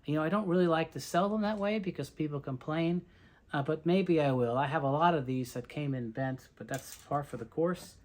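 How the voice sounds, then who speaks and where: A collector talks through a collection in an informal show-and-tell style. The voice sits at a medium pitch. The delivery is conversational and explanatory, moving from one item to the next. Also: a little fast. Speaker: collector presenting a tape reel collection.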